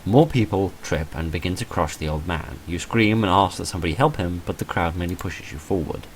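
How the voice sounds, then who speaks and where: A man's voice: deep voice, a little fast, slight background noise, poor audio. A man reading visual novel text aloud dramatically, with dry, understated wry commentary in between. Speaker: gamer recording a playthrough.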